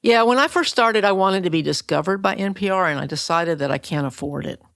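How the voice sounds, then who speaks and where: A woman's voice: medium pitch, a little fast, creaky, a bit bright, no echo, clean studio sound. A woman talking conversationally as a podcast interviewer.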